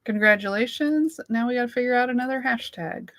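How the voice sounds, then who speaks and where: A woman's voice: a little high, fairly quick, a bit bright. Giggly woman losing her voice. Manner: giggly, chatty, reads out viewer comments. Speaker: livestream co-host. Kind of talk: casual livestream chat.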